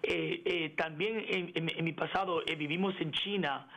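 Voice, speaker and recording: A middle-aged man in a radio interview, speaking haltingly with many fillers and restarts and slipping into English words. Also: a little high, fairly even intonation, pushed and bright. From United States. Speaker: interview guest, engineering executive. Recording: radio broadcast.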